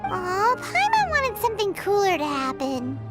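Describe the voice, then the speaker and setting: A tiny, perky fairy sidekick with an extremely high, squeaky child voice that leaps up and down wildly. She is nosy and exclamatory, a video game companion with prominent music playing behind her. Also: wildly swooping pitch, a little slow, exaggerated voice. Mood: let down. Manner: exclamatory, refers to self in third person. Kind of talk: video game character acting.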